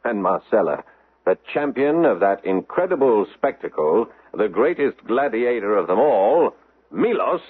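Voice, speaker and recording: An actor playing a pompous, worldly Roman guardian in a grandiose, theatrical radio drama style. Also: male voice, fairly low pitch, big pitch swings, a little slow, breathy edge, narrow, tinny audio, exaggerated voice. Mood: effusive. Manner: grandiose, effusive. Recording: band-limited old radio recording.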